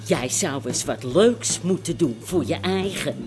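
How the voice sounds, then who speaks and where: An actress with an Amsterdam accent playing a chatty, bossy, caring café barmaid in a radio drama, brisk and direct. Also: female voice, low-pitched, lively intonation, over music. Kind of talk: Dutch radio drama acting.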